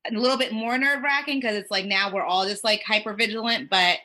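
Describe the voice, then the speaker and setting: A woman's voice: mid-range voice, quick pace. A woman working as a child life specialist, chatting casually and rambling with lots of "like" fillers. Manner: rambling, heavy use of "like", quoting others in lively voices. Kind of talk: casual conversation on a podcast.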